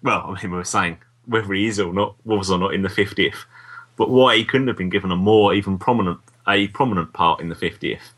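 A man's voice: sits low, fairly quick, a bit bright, slightly rough. A British man co-hosting a podcast in casual, conversational chat. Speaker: podcast co-host.

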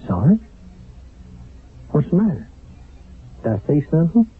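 An actor playing a talkative, uneasy American army private in a radio drama, folksy and excitable, with rough colloquial grammar. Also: male voice, on the high side, measured pace, full character voice. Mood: uneasy, agitated. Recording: muffled, narrow-band old radio broadcast.

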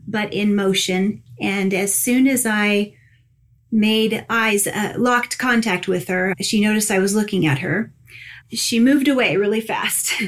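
A woman hosts a spiritual podcast, gushing and chatty with frequent laughs. She sounds tired. Her pitch sits in a middle range. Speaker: podcast host and angel communicator. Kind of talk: casual spiritual podcast chat.

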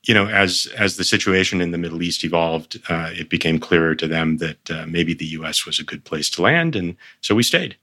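Deep-voiced man in his fifties. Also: expressive, a little fast, few pauses, bright, forceful tone. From Boston area, United States. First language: Armenian. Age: 50s. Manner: thoughtful, with frequent fillers and restarts. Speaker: guest, company founder. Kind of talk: relaxed interview conversation.

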